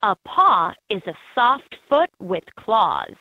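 A woman's voice: medium pitch, huge pitch swings, slow, no room sound, exaggerated voice. A woman reading scripted narration as the guide in a children's learning game, recorded as lo-fi toy audio. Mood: instructive.

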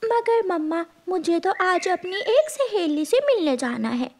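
A woman's voice: very high-pitched, lively intonation, unhurried, a little mellow, voice pushed up, exaggerated voice. A woman voicing a lazy, sulky young girl bird in a cartoon story, complaining and defiant. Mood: making excuses.

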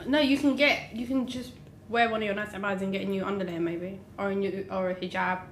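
A young woman hosting a podcast in casual chat, bubbly and quick to laugh. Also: mid-range voice, fairly even intonation. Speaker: podcast host. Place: podcast studio, indoors. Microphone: studio mic on arm. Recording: echoey room, faint hiss.

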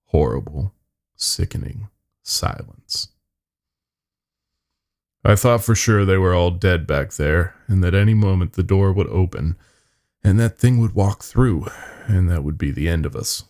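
A man's voice: low voice, measured pace, long pauses, darkish tone. A man narrating a scary story in the first person as a podcast storyteller, with dry, wry asides.

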